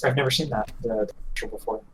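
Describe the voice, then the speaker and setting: A man's voice: mid-range voice, rapid-fire, crowd noise. A man co-hosting a podcast, chatting casually with a joking manner. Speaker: podcast co-host. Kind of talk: casual chat.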